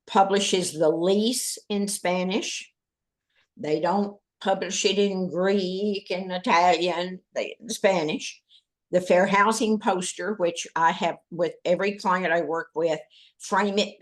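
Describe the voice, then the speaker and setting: A woman fair housing trainer speaking on a panel in a direct, matter-of-fact way. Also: on the low side. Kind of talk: webinar panel discussion.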